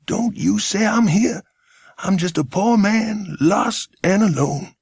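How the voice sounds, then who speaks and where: Man voicing a hoarse, urgent American fugitive. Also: sits high, animated, slow pace, a little mellow, no room sound, clean studio sound, voice pushed up, full character voice. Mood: hushed, pleading. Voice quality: hoarse, whispery. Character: frightened American man in hiding, warning children.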